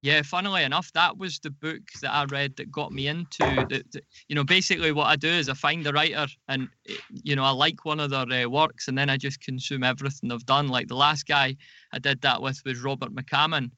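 A man's voice: mid-range voice, steady tone, brisk, sharp tone, dry recording, highs cut off. A man speaking casually as a podcast guest, in a wry, self-deprecating way. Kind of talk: casual chat.

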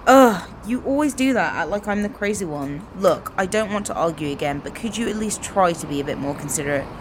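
A woman's voice: medium pitch, lively intonation, fairly quick, background noise, lightly acted. A woman playing an irritable, sarcastic young radio producer in a comedy radio play, blunt and sweary. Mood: irritated.

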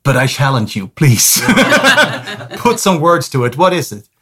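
A man's voice: medium pitch, expressive, a little slow, fairly bright tone. A man hosting a podcast interview, jokey and teasing, laughing often. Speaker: podcast host. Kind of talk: casual interview chat.

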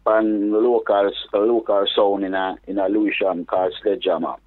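Reggae DJ, patois, phone-like sound. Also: male voice, on the low side. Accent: Jamaican patois mixed with London. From England. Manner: relaxed, halting, restarts phrases. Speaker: reggae DJ guest. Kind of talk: casual interview chat.

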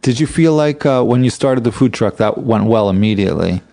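A man's voice: medium pitch, expressive, brisk, creaky, mellow. A man hosting a podcast, asking a guest friendly, curious questions in a casual chat. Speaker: podcast host.

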